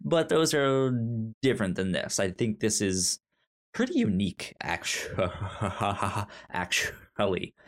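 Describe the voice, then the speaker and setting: A man co-hosting a podcast in casual movie chat, talking haltingly with stammered repeats. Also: on the low side, big pitch swings. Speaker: podcast co-host.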